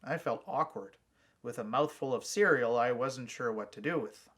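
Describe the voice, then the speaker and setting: A man's voice: mid-range voice, moderate pace, a bit bright. A man reading his own short story aloud as a scripted first-person narration.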